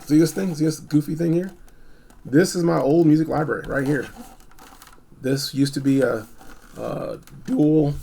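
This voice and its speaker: A man giving a casual, unscripted gadget review, blunt and informal, rambling and repeating phrases for emphasis, with a gravelly, husky voice. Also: medium pitch, darkish tone, a little hiss or hum. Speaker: product reviewer.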